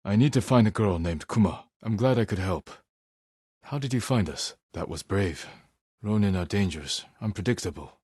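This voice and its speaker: Low, husky, stern samurai. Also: male voice, darkish tone, dry recording, studio quality. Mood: serious, concerned. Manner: restrained, measured, terse delivery. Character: stern, honorable young samurai warrior, weary but resolute. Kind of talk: dramatic video game acting.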